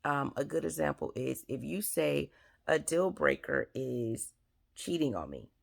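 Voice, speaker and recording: Woman host, hoarse and gravelly, earnest advice delivery full of fillers. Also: low voice, lively intonation, unhurried. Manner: earnest, repetitive, many fillers. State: raspy, scratchy throat. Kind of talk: advice talk to camera.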